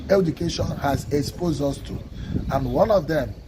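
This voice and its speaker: A man from Nigeria with a Nigerian accent, an Igbo speaker, giving a measured teaching talk on a livestream and often checking in with "okay?". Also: fairly high pitch, warm tone, slight background noise, low-quality recording. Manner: measured, stresses single words, asks listeners "okay?". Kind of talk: slow, deliberate teaching talk.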